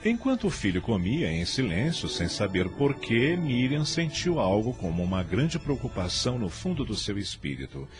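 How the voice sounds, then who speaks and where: A man's voice: lowish voice, wildly swooping pitch, moderate pace, hardly any pauses, over music. A man with a Brazilian accent narrating a radio drama from a script in a solemn storytelling delivery.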